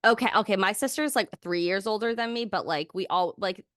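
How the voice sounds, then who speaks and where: A young woman co-hosting a podcast in casual chat, telling stories in a candid, animated way with plenty of swearing. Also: medium pitch, brisk, dry recording, studio quality. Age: young adult. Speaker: podcast co-host. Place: small indoor room, remote video recording. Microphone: podcast microphone on boom arm, close up.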